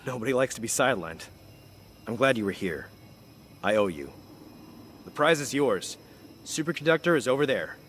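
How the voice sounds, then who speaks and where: A man playing a weary, loyal soldier and mentor with some guilt and warmth, in video game dialogue. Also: mid-range voice, very animated, normal speed, pauses often. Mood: earnest, mentoring. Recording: game ambience and engine noise behind.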